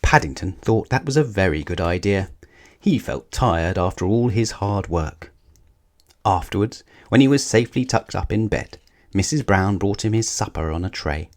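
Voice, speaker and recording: A man's voice: fairly low pitch, expressive, moderate pace. A man reading a scripted children's story aloud as the narrator.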